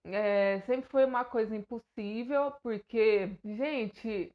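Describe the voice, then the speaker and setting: A woman's voice: fairly high pitch, slow pace, a little mellow. A young Brazilian woman with a Brazilian Portuguese accent, talking straight to her viewers in an earnest, hesitant way, with many fillers and restarts. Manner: earnest, emotional. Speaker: YouTuber. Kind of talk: personal vlog to camera.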